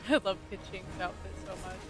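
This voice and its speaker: A woman's voice: mid-range voice, monotone, brisk. A woman game streamer giving casual, chatty live commentary and reacting aloud as she plays. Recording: loud game music behind voice. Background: video game music.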